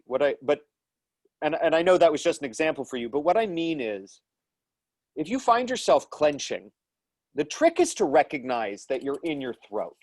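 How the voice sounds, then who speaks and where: A man's voice: a little high, dry recording, clean studio sound. A man in his thirties teaching an online acting class from home over a video call, speaking thoughtfully with many restarts and fillers. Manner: gestures with his hands while explaining. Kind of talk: live online class lecture and Q&A. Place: small room at home. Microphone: earphone headset mic on a video call.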